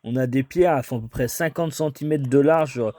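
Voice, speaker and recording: A man's voice: medium pitch, a little fast, warm tone, slight background noise. A man in a casual phone conversation, explaining things in a rambling, hesitant way. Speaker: homeowner taking a cold call.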